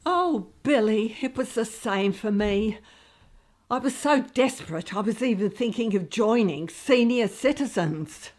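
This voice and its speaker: A woman's voice: a little high, animated, measured pace, a little mellow. Woman playing a scatterbrained, swooping older radio actress. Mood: melodramatic, lovestruck. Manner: flustered, rambling, over-explaining. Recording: roomy echo.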